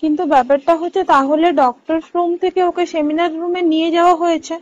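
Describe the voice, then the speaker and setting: A woman's voice: very high voice, steady tone, brisk, a little mellow. A woman with a West Bengal Bengali accent in a casual recorded phone chat, listening attentively with short murmured replies and questions. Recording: muffled lo-fi recorded phone call.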